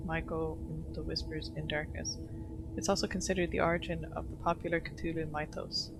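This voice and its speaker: A woman's voice: low-pitched, gravelly, background noise. A woman giving casual live commentary as a game streamer, with offhand, wry reactions.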